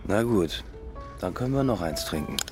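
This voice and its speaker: A man's voice: low voice, animated, moderate pace, over music. An actor playing a troubled, sardonic man in a radio drama, speaking in terse, dry sentences. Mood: wry, subdued. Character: troubled, sardonic man confiding in a drinking companion. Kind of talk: naturalistic radio drama dialogue.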